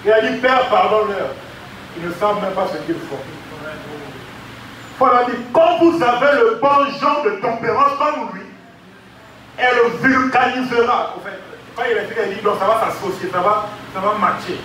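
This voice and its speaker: Male preacher, very high bright voice, heard from a distance in a booming, echoey church hall. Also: a little hiss or hum. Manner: preaching with call-and-response, drawn-out emphasis. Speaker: pastor. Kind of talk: sermon.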